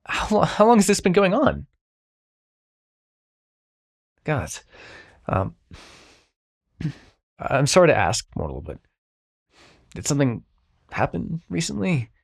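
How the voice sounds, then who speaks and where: A man playing a grumpy but reluctantly kind immortal dream-bringer in an ASMR roleplay, brusque and halting. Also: fairly high pitch, wildly swooping pitch, creaky, breathy edge, exaggerated voice. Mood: awkward concern. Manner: brusque, exasperated, halting, softening into concern.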